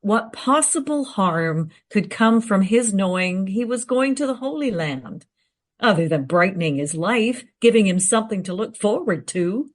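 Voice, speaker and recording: A woman playing a well-informed, matter-of-fact history researcher in a radio play, explaining things briskly and frankly. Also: mid-range voice, expressive. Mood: defensive.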